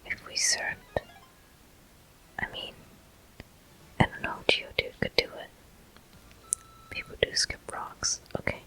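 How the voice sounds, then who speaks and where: Someone whispering softly thru a game walkthrough, asmr style, airy and crisp hiss, very gentle. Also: takes it slowly, pauses often, rough recording. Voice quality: soft, breathy whisper. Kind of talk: ASMR gameplay commentary.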